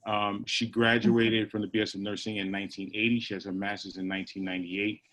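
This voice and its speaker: A man with a fairly low voice hosts a video-call panel. He reads introductions haltingly and apologizes when he stumbles over names. The sound carries the plain quality of a computer call. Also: level tone, a bit bright, low-quality recording. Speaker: panel moderator.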